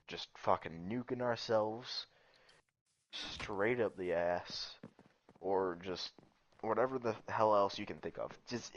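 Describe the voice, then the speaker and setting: Growly low-voiced man, muffled headset. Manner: rambling, profane, laughs often. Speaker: streamer's friend. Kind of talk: casual chat on a game stream. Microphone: gaming headset.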